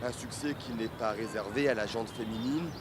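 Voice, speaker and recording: A man reading a scripted news report as a voice-over in a measured way. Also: lowish voice, background noise. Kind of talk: scripted narration.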